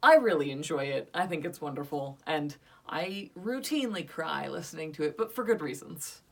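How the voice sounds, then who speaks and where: A woman's voice: sits low, animated, distant mic. Playful young woman, a bit off-mic. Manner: playful, teasing, quick to laugh. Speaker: podcast co-host. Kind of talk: casual podcast review chat.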